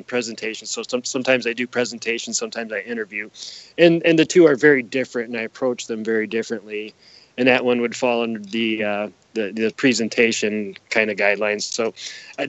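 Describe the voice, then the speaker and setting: A man speaking as an interview guest, explaining his own work in a casual, halting, conversational way. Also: medium pitch, fairly bright tone, no room sound, highs cut off. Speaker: podcast guest, himself a podcaster and presenter.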